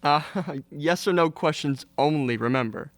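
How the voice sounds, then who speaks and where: A woman playing a jovial, good-natured young gentleman in a radio play, hearty in delivery. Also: low-pitched, unhurried, dry recording. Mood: playful. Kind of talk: old-time radio play acting.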